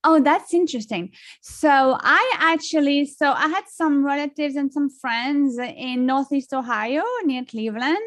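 A woman's voice: high-pitched, a little slow, hardly any pauses, no echo. French lady chatting away, lots of um and you know, dry close sound. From France. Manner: chatty, many fillers and restarts. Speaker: guest songwriter. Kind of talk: casual interview chat.

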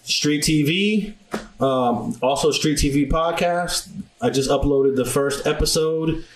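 A man's voice: fairly high pitch, takes it slowly, echoey room, a little hiss or hum, low-quality recording. A man from New York, a podcast guest, explaining at length with dates and facts in a casual interview.

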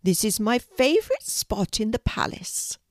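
Woman putting on a high, genteel elderly queen. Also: very animated, measured pace, dry recording, clean studio sound. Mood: gracious. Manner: gentle, genteel and reassuring. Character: elderly queen, gracious, kindly and warm.

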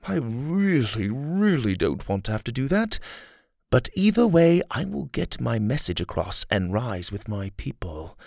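A man voicing a smug scheming male rebel leader who taunts in a high, theatrical tone. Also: gravelly, darkish tone, dry recording, clean studio sound. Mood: menacing. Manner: gloating, theatrical, high mocking tone.

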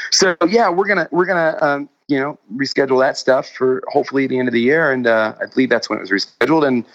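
A man's voice: mid-range voice, creaky, a bit bright. Rambling man, slightly muffled audio. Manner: rambling, halting, self-interrupting. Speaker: musician guest. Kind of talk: casual remote interview chat.

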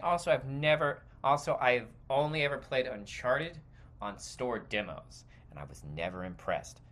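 A man's voice: medium pitch, fairly bright tone. A man hosting a podcast, delivering a casual, opinionated rant in a rambling, digressive and emphatic way. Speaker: podcast host.

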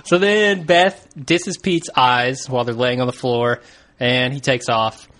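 A man's voice: mid-range voice, a little slow, fairly bright tone. A man co-hosting a podcast, casually recapping a TV episode in a laid-back, jokey way and laughing easily. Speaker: podcast co-host.

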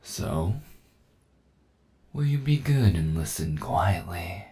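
Male actor as smug cyborg kidnapper, deep voice, unhurried, taunting, dominant, ASMR roleplay. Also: lively intonation, mellow. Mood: calculating. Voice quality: low, gravelly. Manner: taunting, slow menace, chuckling condescension. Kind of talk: ASMR boyfriend audio roleplay.

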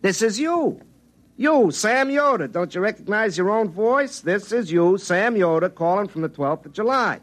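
A man's voice: sits high, animated, moderate pace, fairly bright tone, no room sound. An actor playing a cocky, folksy small-town telephone lineman in a 1950s radio drama, speaking in a wry, colloquial way. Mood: insistent, excited. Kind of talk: 1950s radio drama acting with first-person narration. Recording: vintage 1950s radio recording.

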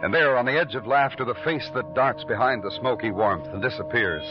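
An actor playing a terse, world-weary police detective and brooding narrator in a 1950s radio noir drama. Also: male voice, mid-range voice, brisk, over music, lightly acted. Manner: clipped with suspects, brooding and poetic when narrating. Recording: dull lo-fi old radio recording.

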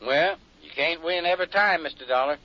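An American actor playing a mild, easygoing small-town sheriff in a 1950s radio drama. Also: male voice, on the high side, a little fast, close to an everyday voice. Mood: mild, curious. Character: folksy, unhurried small-town male sheriff. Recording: muffled, dry vintage radio recording.

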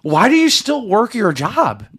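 High-pitched, animated man bantering casually. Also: unhurried. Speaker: podcast co-host.

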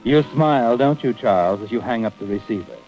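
A man's voice: mid-range voice, expressive, fairly quick, loud music behind the voice, close to an everyday voice. An actor as an ominous, knowing narrator of an old-time radio mystery, insinuating and taunting as he addresses the listener. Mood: sinister, knowing. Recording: dull old radio recording.

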